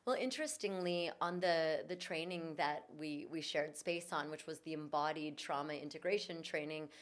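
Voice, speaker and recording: A woman's voice: low-pitched, moderate pace. A woman guest, a yoga teacher and vocal coach, telling her story in an earnest, reflective way during an interview. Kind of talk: conversational interview. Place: in Bali.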